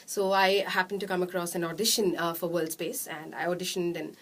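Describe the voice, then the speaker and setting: A woman's voice: fairly low pitch, flat delivery. A woman in her thirties with a nasal voice, a radio jockey answering interview questions in a chatty way while seated in a small studio room. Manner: fast, chatty, gestures a lot with her hands.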